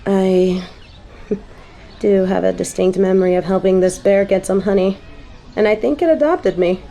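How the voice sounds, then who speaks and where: A woman's voice: mid-range voice, normal speed, vocal fry, mellow, close to an everyday voice, poor audio. A woman playing a prim, uptight, easily flustered angel little sister in an audio drama, speaking hesitantly. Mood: shy, reflective. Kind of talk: audio roleplay drama acting.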